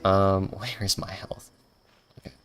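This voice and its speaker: Low-voiced playful man streaming a game. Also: level tone, a little slow, a bit bright, soft background music. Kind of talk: casual livestream gameplay commentary.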